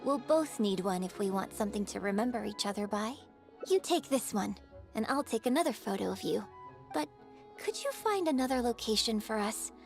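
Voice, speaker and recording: A woman's voice: a little high, lively intonation, moderate pace, gravelly, faint music behind. A woman playing a poised young stage performer in a video game, friendly and playfully dramatic, speaking in a composed, articulate, theatrical way. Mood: friendly, upbeat.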